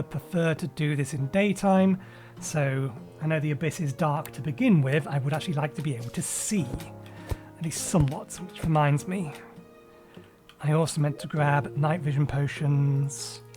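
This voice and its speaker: A man chats his way through a video game session, correcting himself mid-sentence and filling gaps with ums. His voice sits a little high and carries a slight rasp left over from a cold. Quiet music plays faintly behind him. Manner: rambling, self-correcting with frequent ums. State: recovering from a cold, a little raspy. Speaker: gaming channel host. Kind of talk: casual let's-play commentary.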